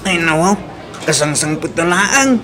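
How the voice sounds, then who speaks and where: A man's voice: fairly high pitch, expressive, unhurried, crowd noise. A man with a Filipino accent playing a poor, thrifty young suitor who is plain-spoken and aggrieved, in a radio comedy-drama. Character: poor, cheap, laid-back young suitor, somewhat sheepish.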